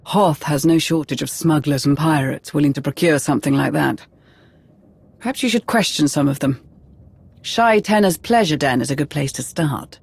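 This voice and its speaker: Game mission briefer: lowish voice, composed, factual, dry studio sound. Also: female voice, vocal fry, a little mellow, slightly rough, no room sound, full character voice. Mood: informative, composed. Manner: measured, matter-of-fact. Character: composed female intelligence briefer in a space adventure.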